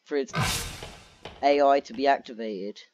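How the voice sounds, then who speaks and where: A man giving casual gameplay commentary as a video host, sounding tired and low on energy with a dry, sarcastic manner. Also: measured pace, muffled recording, faint music behind. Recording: steady background noise with clicks.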